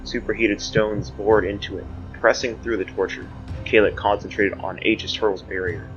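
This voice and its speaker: A man's voice: lowish voice, fairly even intonation, moderate pace, a bit bright, muffled recording, soft background music. A man narrating a scripted fantasy audio drama in a portentous, theatrical manner.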